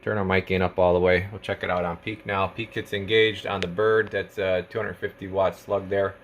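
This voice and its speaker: A man's voice: low-pitched, level tone, brisk, highs cut off, a little hiss or hum. A man casually demonstrating a product as a technician, explaining step by step in informal language with trade jargon. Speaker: radio technician presenting a radio for sale.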